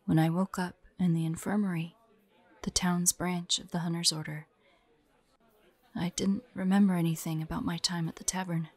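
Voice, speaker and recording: A woman voicing a grieving, vengeful young demon hunter in an audio roleplay, soft and breathy, telling her story haltingly. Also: lowish voice, little pitch movement, no room sound. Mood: wistful, subdued. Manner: slow, halting, haunted storytelling. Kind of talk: dramatic audio roleplay monologue.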